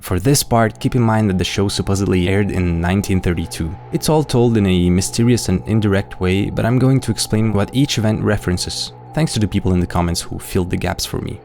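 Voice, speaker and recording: A man's voice: lowish voice, brisk, vocal fry, hardly any pauses, darkish tone, faint music behind. A man hosting and narrating a scripted analysis, explaining things directly to viewers and reading out quotes. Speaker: narrator and video host.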